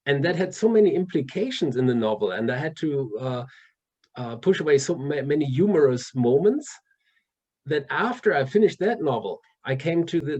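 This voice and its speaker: A man's voice: mid-range voice, darkish tone, highs cut off. A man with a German accent, an author chatting casually in an interview, often restarting his sentences with fillers. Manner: hesitant, many restarts and fillers, laughs often. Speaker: author guest.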